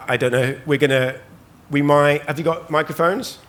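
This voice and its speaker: A man, hoarse from losing his voice, hosts a live conference panel in a loose, chatty way with many ums and restarts. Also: on the high side, fairly even intonation, normal speed, a bit bright. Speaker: panel host. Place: conference stage at a trade show.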